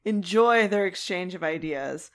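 A woman's voice: on the low side, expressive, a little slow. A woman co-hosting a casual discussion, talking informally and often acting out little bits of imagined dialogue. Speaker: podcast co-host.

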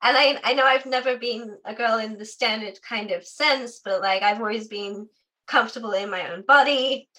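Interview guest, young adult, from the UK, chatty with filler words, bright clear tone, sore voice. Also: female voice, on the high side, moderate pace, rough recording. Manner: chatty, frequent "like" fillers, laughs often. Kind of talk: casual interview conversation.

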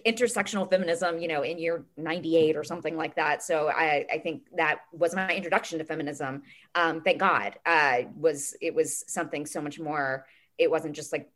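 A woman in her thirties, a filmmaker guest chatting casually in an interview, talking in a quick, youthful way. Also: deep voice, a bit bright. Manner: quick, chatty, self-described teenage way of talking.

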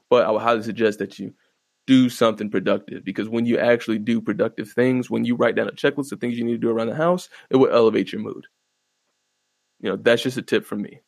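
Man, podcast host, monotone, gravelly, laid-back and profane, dry close mic. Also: mid-range voice, no room sound. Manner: laid-back, profane, rambling with many fillers. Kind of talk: casual solo podcast commentary. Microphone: close podcast microphone.

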